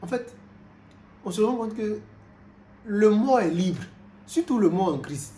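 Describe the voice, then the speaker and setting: Animated preacher in an echoey room. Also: male voice, a little slow. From Cameroon. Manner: animated, swings from loud exclamations to low, quiet asides, with frequent repetitions. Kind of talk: sermon. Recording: roomy echo with faint background noise.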